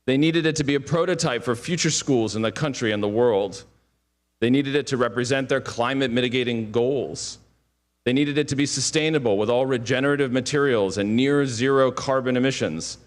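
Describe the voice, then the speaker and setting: A man's voice: mid-range voice, normal speed. A man giving an earnest, heartfelt speech at a ceremony.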